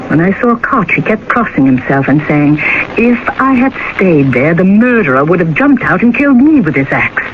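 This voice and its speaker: An actress playing an officious, impatient police captain in a radio drama, curt and authoritative. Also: female voice, fairly low pitch, big pitch swings, moderate pace. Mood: confident, dismissive. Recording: thin phone-like old radio, noisy.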